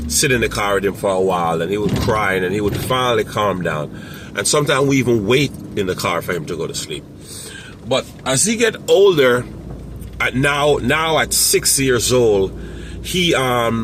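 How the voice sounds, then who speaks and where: A man talking casually to camera as a parent coach, rambling with many fillers and restarts, with a strong, loud voice. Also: medium pitch, noisy background.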